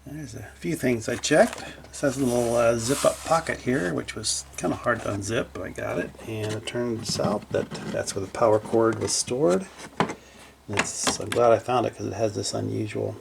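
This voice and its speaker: A man giving casual, unscripted narration as a hobbyist repairer at his workbench, low-key and hesitant as he thinks aloud. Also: moderate pace, creaky, background noise. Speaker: hobbyist electronics repairer presenting his project. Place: small indoor home workshop at a bench.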